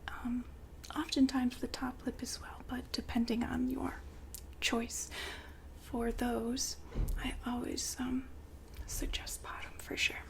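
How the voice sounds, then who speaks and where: A woman whispering softly and breathily in an ASMR roleplay, with gentle, polite sales patter. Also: fairly high pitch, vocal fry.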